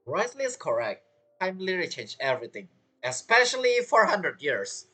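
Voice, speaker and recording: Lively male game streamer. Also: fairly high pitch, a little fast. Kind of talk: casual game commentary. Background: game music.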